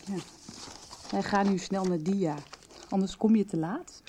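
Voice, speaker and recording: Female actor as helpful woman, mellow radio drama voice, slight background noise. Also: medium pitch, measured pace, lightly acted. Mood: kindly, businesslike. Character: helpful woman handing out research documents. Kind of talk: Dutch radio soap acting.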